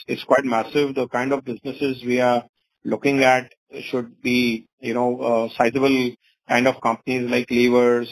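A man's voice: mid-range voice, rough recording. A man with an Indian accent answering investors as a company executive on an earnings call, wordy and often saying "you know".

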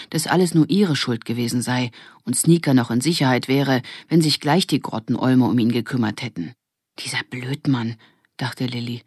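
A woman's voice: very deep voice, a little mellow, breathy edge, dry recording, clean studio sound. A woman reading a scripted children's story as an audiobook narrator.

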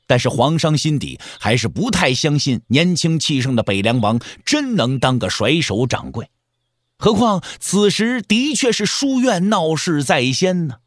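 A man's voice: on the high side, very animated, normal speed, dry recording, clean studio sound. A man narrating an audio drama as a dramatic storyteller, with emphatic, theatrical phrasing.

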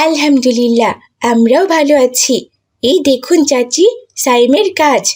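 A woman's voice: sits high, moderate pace, darkish tone, breathy edge. A woman voicing a cheeky, bold little village girl in a cartoon, sassy and outspoken. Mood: chatty. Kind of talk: cartoon dialogue.

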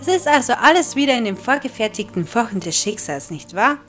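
A woman's voice: on the high side, big pitch swings, a little fast, faint music behind. A woman playing a brusque, irritated goddess of justice in an audio drama. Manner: curt, irritated.

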